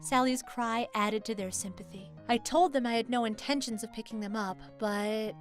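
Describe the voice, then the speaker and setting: A woman's voice: on the high side, steady tone, normal speed, faint music behind. A woman giving earnest, dramatic first-person narration of a scripted story.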